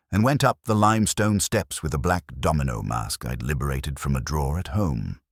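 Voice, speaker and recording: A man with a very deep voice narrates scripted detective fiction. His delivery is wry and hardboiled, moving through a lively range of pitch, and the sound is dry and close. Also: dry recording. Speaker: audiobook narrator.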